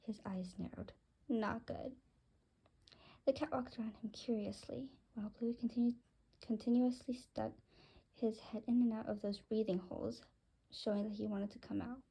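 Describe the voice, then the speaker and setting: A woman's voice: on the high side, moderate pace, warm tone, husky, low-quality recording. A woman narrating a scripted first-person story, reading it aloud.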